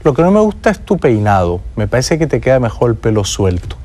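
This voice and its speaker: A man's voice: mid-range voice, big pitch swings, fairly quick, warm tone. A Peruvian man hosting a talk show, delivering an indignant, sarcastic monologue with teasing asides. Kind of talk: opinionated monologue.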